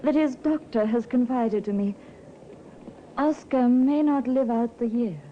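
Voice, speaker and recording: An actress playing a persuasive, solicitous wife in a 1950s radio drama. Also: female voice, fairly high pitch, unhurried, natural voice. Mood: anxious, coaxing. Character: persuasive, solicitous wife of an ailing older man. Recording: muffled, hissy old radio recording.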